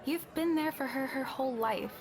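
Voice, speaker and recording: A woman's voice: high voice, moderate pace, a crowd in the background, lightly acted. A woman playing a young tavern keeper and confidante who talks bluntly but reassuringly, in a conversational roleplay drama. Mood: firm, candid.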